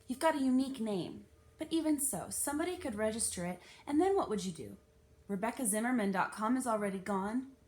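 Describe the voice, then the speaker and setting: A woman's voice: on the high side, animated, brisk, a little mellow, close to an everyday voice. A woman voicing a precocious, self-important ten-year-old girl in a storybook, speaking in a matter-of-fact, know-it-all way.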